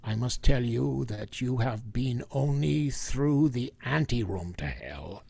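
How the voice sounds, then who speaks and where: An actor playing a grave, intense professor who hunts vampires, speaking with solemn emphasis and dramatic pauses in vintage radio style. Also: male voice, medium pitch, lively intonation, vocal fry, mellow, no room sound, heavily acted. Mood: grave, urgent.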